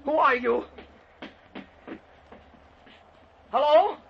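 A man's voice: sits high, expressive, normal speed, husky. An actor playing a nervous, stubborn husband in a radio play, stammering and blustering as he talks. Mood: alarmed. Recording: dull lo-fi radio recording, background hiss.

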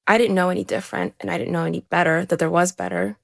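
Woman, young adult, from Ukraine, interview guest, earnest, slightly low, no room echo. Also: steady tone, quick pace, vocal fry, studio quality. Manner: earnest, conversational, with frequent restarts. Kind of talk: candid personal storytelling.

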